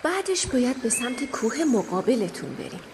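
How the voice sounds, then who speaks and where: A woman playing a kind, guiding fairy in a children's radio play, speaking in a measured, nurturing way. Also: a little high, big pitch swings, noisy background. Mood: gentle, guiding. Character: kind, guiding fairy angel, gentle and encouraging.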